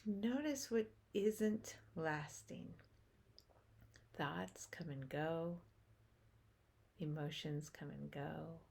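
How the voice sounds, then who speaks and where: Very slow, low-voiced woman guiding meditation. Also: gravelly, a little mellow, breathy edge. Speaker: meditation teacher. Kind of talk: guided meditation, slow spoken instruction.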